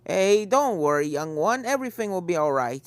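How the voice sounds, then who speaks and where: A woman's voice: fairly low pitch, very animated, moderate pace, no room sound. A woman voicing a brave, kindly old male unicorn ghost hunter in a story reading, hearty and reassuring, with music behind. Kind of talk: fan fiction story reading.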